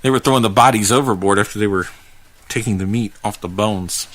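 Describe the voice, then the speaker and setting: A man narrating a first-person creepypasta in a conversational, halting way, with dramatic pauses as he goes. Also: lowish voice, a bit bright. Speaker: podcast host reading a horror story.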